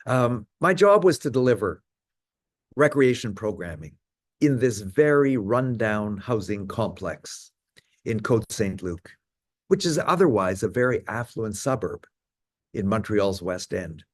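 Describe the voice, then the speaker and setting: A man in his seventies with a Canadian accent, giving a measured prepared talk as guest speaker. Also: lowish voice, sing-song, dry recording, studio quality. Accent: Canadian English.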